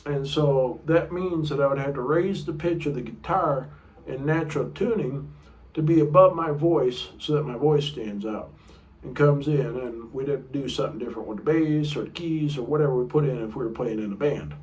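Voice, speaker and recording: A middle-aged man with a low baritone voice teaching a casual guitar lesson, chatty and rambling, sometimes singing short notes. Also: normal speed, darkish tone. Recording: room mic a bit far off, guitar playing.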